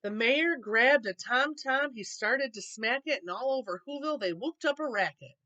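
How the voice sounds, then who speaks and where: A woman reading a children's picture book aloud in an expressive, dramatic storytelling way. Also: a little high, normal speed, highs cut off. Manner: animated, dramatic.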